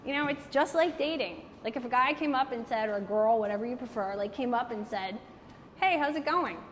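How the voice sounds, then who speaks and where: A woman's voice: on the high side, fairly quick. A woman giving a casual, joking talk on networking to a live audience and taking their questions. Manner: candid, joking, animated, frequent "like". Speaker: networking speaker. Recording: hall reverb, faint background noise.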